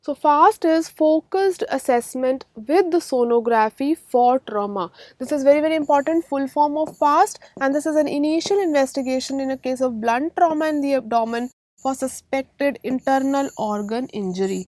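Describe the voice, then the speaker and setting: A woman with an Indian accent gives an exam-prep medical lecture in a high-pitched voice. She stresses and repeats key points, often asking questions to check understanding. The sound is dry, with very little room echo. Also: normal speed, darkish tone.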